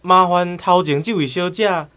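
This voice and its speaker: A man playing a smooth-talking, jovial travelling photographer in a radio drama, with a Taiwanese accent. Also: sits high, normal speed, bright, forceful tone. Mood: cheerful, directing.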